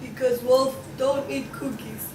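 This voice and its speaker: A woman's voice: sits high, fairly even intonation, a little slow. A woman playing a bossy, suspicious pig juror with an emphatic delivery in a student play reading. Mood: snappy. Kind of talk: student table read of a comic play. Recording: echoey room, distant mic, faint noise.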